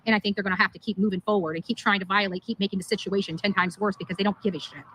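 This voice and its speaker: A woman's voice: mid-range voice, fairly even intonation, very fast, fairly bright tone, slight background noise, rough recording. A woman livestreamer speaking in an emphatic, indignant and repetitive way as she vents. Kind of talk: live stream monologue, venting.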